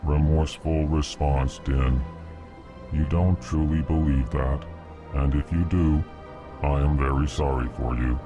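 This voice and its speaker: A man voicing a huge, courteous alien ambassador in a video game, speaking in a formal monotone and flatly naming each feeling before he speaks. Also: rumbling low, unhurried, over music. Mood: apologetic, deadpan. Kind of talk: video game character dialogue.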